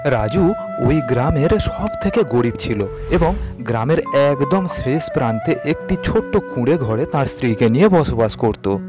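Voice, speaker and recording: A man narrating an animated moral story in a steady, measured storytelling manner. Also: mid-range voice. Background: cartoon background music.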